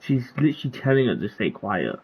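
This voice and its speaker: A game streamer giving casual commentary, informal and rambling, thinking aloud in a husky voice. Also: medium pitch, sing-song, fast talker, highs cut off.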